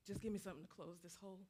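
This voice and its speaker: A woman's voice: lowish voice, dark tone, a little hiss or hum, lightly acted. A woman plays the restless ghost of a woman soldier in a stage drama. She is taunting and insistent, speaking in a streetwise vernacular and refusing to be forgotten. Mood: bitter, wistful.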